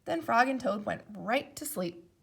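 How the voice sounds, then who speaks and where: A woman reading a children's story aloud in an expressive narrating style. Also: fairly high pitch, unhurried, vocal fry, slightly rough. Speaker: storybook reader.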